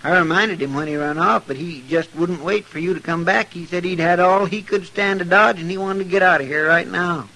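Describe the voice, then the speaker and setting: An American actor playing an anxious, talkative, loyal deputy in an old radio western, with a rural twang and a rambling, hesitant manner. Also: male voice, high voice, fairly even intonation, fairly quick, few pauses, sharp tone, low-quality recording. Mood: earnest, reasoning. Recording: old radio recording, faint noise.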